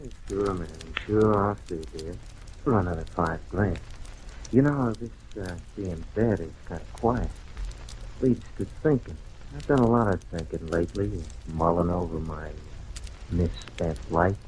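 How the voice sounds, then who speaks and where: A shady small-time blackmailer in an old radio crime play. His natural register is very low and the performance is theatrical. The old recording carries a light layer of noise. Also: measured pace, a little mellow, rough recording. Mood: wry, smug. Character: small-time blackmailer, smug then desperately pleading for his life. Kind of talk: old-time radio detective drama acting.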